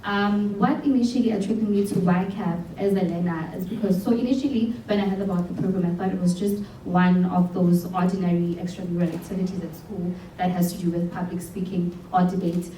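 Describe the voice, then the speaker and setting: A woman's voice: fairly low pitch, steady tone, a little fast, few pauses, mellow. Earnest young South African woman in a hall. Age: young adult. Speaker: program alumna and speaker. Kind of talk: speaking on stage at a showcase. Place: event hall. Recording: roomy hall from far off with faint hum.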